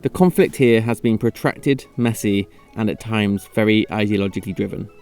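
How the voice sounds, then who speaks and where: A low-voiced man narrates over the footage in a measured way. His delivery is scripted, with a wry edge. Soft music plays underneath.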